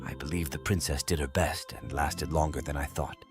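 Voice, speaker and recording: A man's voice: a bass voice, fairly even intonation, a little fast, music in the background. An actor playing the fatherly, middle-aged master of a wealthy household in an anime-style dub, dignified and knowing. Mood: knowing, calm.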